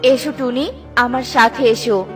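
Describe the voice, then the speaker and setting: Woman voicing a stern, measured cartoon fairy. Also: a little high, fairly even intonation, faint music behind, voice pushed down, lightly acted. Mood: calm, helpful. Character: calm, stern good fairy who challenges villains. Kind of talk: children's cartoon story acting.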